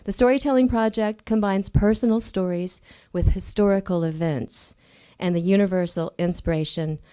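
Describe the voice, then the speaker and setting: A woman's voice: on the low side, warm tone. A woman emceeing an event, reading out names and an introduction, stumbling over a few names.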